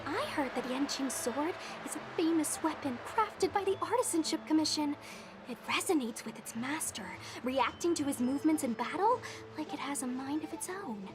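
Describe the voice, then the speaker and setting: A woman's voice: high voice, animated, normal speed, music in the background. A woman playing an earnest, youthful girl warrior in a video game. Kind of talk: animated video game dialogue.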